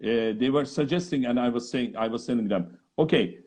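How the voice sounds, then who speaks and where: Turkish-accented man, effusive and halting. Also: medium pitch, a little mellow. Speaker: interview host, opera singer. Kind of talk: casual video chat interview.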